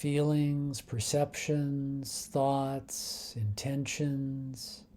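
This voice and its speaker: A man leading a guided meditation in a calm, unhurried manner, leaving long pauses between short phrases. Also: medium pitch.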